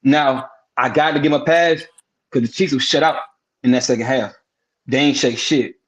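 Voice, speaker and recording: A man's voice: mid-range voice, steady tone, moderate pace, low-quality recording. A man co-hosting a casual sports podcast, breaking games down in a profane, emphatic style and repeating words for effect. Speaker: podcast co-host.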